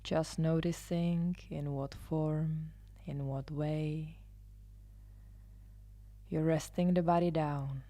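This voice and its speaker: A woman leading a guided meditation as a yoga nidra guide, soothing and hushed, often trailing into a near-whisper. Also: low-pitched, steady tone, slow pace, pauses often. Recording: live instrumental music behind the voice. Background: soft live instrumental music.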